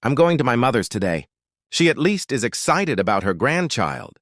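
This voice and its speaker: A man's voice: mid-range voice, sing-song, moderate pace, dry recording, studio quality, voice pushed up. A man voicing a pregnant young woman who murmurs wearily. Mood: hurt. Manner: quiet, murmuring. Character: pregnant young wife, weary and hurt.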